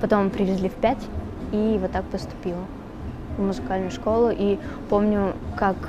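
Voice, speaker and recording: A young woman singer answering questions in an easy, chatty way, full of little fillers. Her voice sits at an ordinary pitch. Traffic noise hums clearly behind her. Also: normal speed, muffled recording. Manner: relaxed, chatty, switches between Russian and Tatar, many fillers. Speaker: singer being interviewed. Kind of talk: casual interview answers. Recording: noisy background with traffic.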